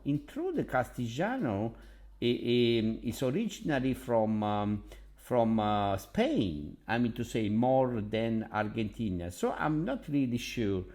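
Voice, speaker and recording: Italian-accented man, unhurried, halting speech full of ums, keen on languages. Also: mid-range voice. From Naples, Italy. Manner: halting, many fillers and restarts; enthusiastic about languages. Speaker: online language tutor. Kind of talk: casual vlog monologue.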